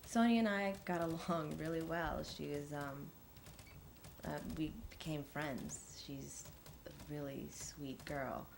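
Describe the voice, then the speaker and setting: An actress plays a friendly, chatty woman with a low voice. She rambles hesitantly in a conversational interview manner, with some room noise around her. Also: slow pace, creaky, full character voice. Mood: fond, hesitant. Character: friendly, chatty young woman giving a personal testimony.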